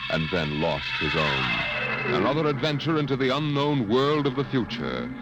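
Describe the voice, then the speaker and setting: A man's voice: medium pitch, sing-song, moderate pace, loud music behind the voice. Portentous old-time radio narrator, man. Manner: portentous, dramatic delivery. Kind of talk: dramatic 1950 radio trailer narration. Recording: dull lo-fi 1950 radio recording.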